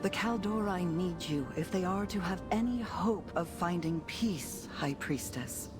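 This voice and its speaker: A woman playing a calm, diplomatic noblewoman in a game, composed as she appeals for peace. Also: on the low side, a little slow, lightly acted. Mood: pleading, composed. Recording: loud music behind.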